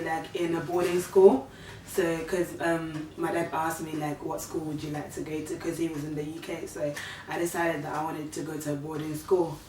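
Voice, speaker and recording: Guest: Ugandan-born woman in her 30s, soft, hesitant, low voice, roomy distant recording. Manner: soft-spoken, hesitant, many fillers. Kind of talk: casual storytelling. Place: living room, sitting on a sofa. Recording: echoey room, far from mic, faint hiss.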